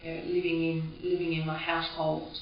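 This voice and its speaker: A woman with an Australian accent, raised speaking Greek at home, recalling her childhood in a reflective, halting way. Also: low-pitched, completely flat delivery, slight background noise. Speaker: interviewee. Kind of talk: personal reminiscence. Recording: echoey, distant, dull recording.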